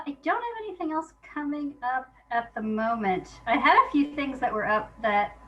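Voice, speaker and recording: A woman's voice: on the high side, a bit bright, poor audio. A woman guest chatting casually in an interview, thinking aloud in an informal, hesitant way. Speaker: guest playwright.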